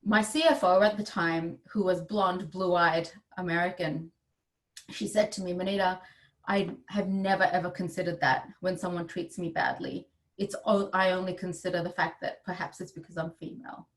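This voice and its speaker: A woman giving an explanatory conference presentation in a measured, emphatic manner. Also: lowish voice, steady tone. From India. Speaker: advisor presenting at a summit. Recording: distant microphone.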